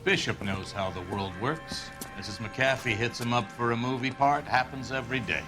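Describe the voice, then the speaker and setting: An actor playing a smug, worldly gangland enforcer in a video game, laid-back and dismissive. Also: male voice, mid-range voice, moderate pace, lightly acted, rough recording. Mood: smug, unbothered. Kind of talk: dramatic video game acting. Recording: music behind the voice.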